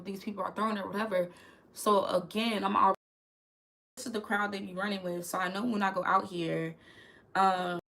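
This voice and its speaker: A woman's voice: medium pitch, fairly bright tone. A young woman, telling a candid storytime straight to her viewers. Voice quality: crackly voice. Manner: chatty, candid, talks to viewers as "y'all". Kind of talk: casual storytime vlog.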